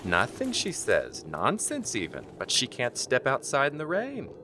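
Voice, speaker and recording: A man's voice: medium pitch, wildly swooping pitch, unhurried, over music. A man playing a teasing, skeptical boyfriend in an audio drama, with wry, coaxing, easygoing delivery. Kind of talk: audio drama acting, naturalistic dialogue.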